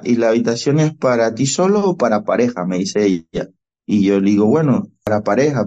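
Mellow Venezuelan man telling stories. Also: mid-range voice. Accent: Venezuelan Spanish. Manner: animated storyteller, reenacts dialogue. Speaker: podcast guest. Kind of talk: storytelling interview. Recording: dull, lo-fi podcast audio.

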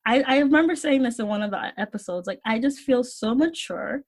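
Podcast co-host, woman in her twenties, relaxed and playful, pitched a little high. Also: brisk. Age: 20s. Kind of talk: casual chat.

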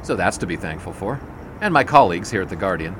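An actor playing an earnest, witty young 1920s sportswriter with a wry, teasing manner in a period audio drama. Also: male voice, low voice, animated, quick pace, noisy background. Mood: warm, admiring.

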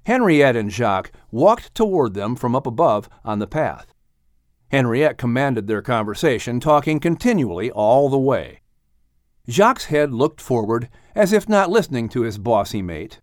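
A man narrating a children's audiobook in an expressive, scripted storytelling style. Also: medium pitch, normal speed, no room sound, studio quality.

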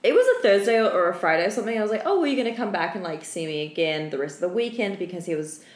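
Female podcast co-host, lively and swooping delivery, casual slangy storyteller, quick to laugh, recorded in an echoey room. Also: lowish voice. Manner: animated, laughing often, slangy.

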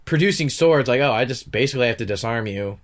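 A man's voice: mid-range voice, expressive, quick pace. A man with a stuffy nose, co-hosting a podcast and recounting scenes in long rambling run-on stretches. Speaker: podcast co-host. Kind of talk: casual recap chat.

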